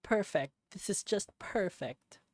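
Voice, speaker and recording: A man's voice: high-pitched, lively intonation, takes it slowly, no room sound, studio quality, voice pushed up, exaggerated voice. A man voicing a frightened, earnest young boy fox in a visual novel, pleading and anxious. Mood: frustrated, scared. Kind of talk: visual novel character voice acting.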